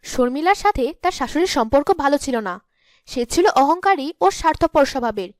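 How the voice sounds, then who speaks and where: A woman's voice: high voice, lively intonation, normal speed, no room sound, clean studio sound. A woman narrating a scripted cartoon story as the storyteller.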